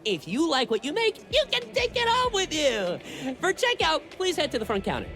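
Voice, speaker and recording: A woman's voice: high voice, big pitch swings, normal speed, fairly bright tone, heavily acted. A woman playing a chirpy young toy shop clerk in an anime-style game, with effusive sales patter. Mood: reassuring, upbeat. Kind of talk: animated anime game voice acting. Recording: music behind the voice.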